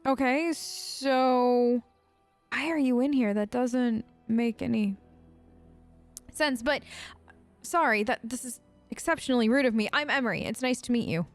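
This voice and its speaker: Clear, high-voiced playful woman gamer. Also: unhurried, pauses often, soft background music. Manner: playful, gentle, hesitant, asks tag questions. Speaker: gamer playing a role-play let's play. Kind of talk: casual chatty in-character game commentary.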